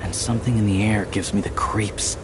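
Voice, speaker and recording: A man playing a grave, cautious man in a video game, speaking in a serious and measured way. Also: fairly low pitch, steady tone, noisy background, lightly acted. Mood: wary, commanding.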